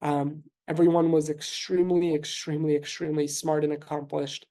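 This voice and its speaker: Man, 30s, New York–raised, Russian first language; physician guest; gravelly, mellow, flat delivery; measured, filler-heavy. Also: on the high side. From New York City. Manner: thoughtful, measured, frequent fillers and restarts. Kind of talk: conversational interview answers.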